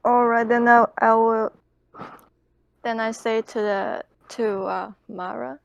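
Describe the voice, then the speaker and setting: A woman's voice: fairly high pitch, steady tone, gravelly, no room sound, lo-fi sound. A woman playing a tabletop roleplaying game, speaking hesitantly as she improvises dialogue and narration. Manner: hesitant, halting delivery.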